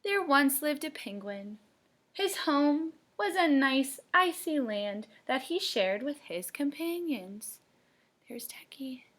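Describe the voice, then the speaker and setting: A woman reading a picture book aloud to children, playful and dramatic, with expressive narration. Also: high-pitched, takes it slowly. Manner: playful, dramatic, with hushed asides. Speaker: storybook reader for children.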